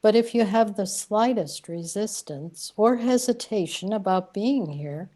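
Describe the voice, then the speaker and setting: A woman acting in a radio play as a calm companion, reassuring and measured. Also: medium pitch. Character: calm female companion gently reminding and guiding.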